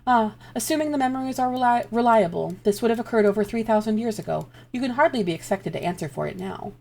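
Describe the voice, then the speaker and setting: A woman voicing a polite, analytical non-human companion who speaks in a measured way. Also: on the high side, animated, gravelly, darkish tone.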